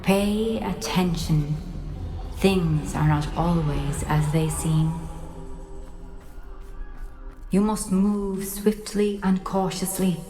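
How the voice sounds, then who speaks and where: A woman's voice: fairly low pitch, slow, music in the background. An actress playing a composed, enigmatic female wizard mentor in a video game, speaking solemnly and instructively. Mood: solemn, guiding.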